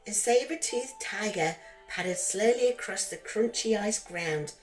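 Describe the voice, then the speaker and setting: A woman narrating a rhyming children's bedtime story in a gentle, expressive, singsong way, as a storyteller for young listeners. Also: mid-range voice, a little slow, poor audio. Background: background music.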